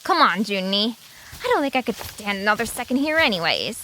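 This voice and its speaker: A woman's voice: medium pitch, very animated, a little fast, pushed and bright, a little hiss or hum, poor audio. A woman playing a blunt, skeptical young friend in an audio drama, with an exasperated manner.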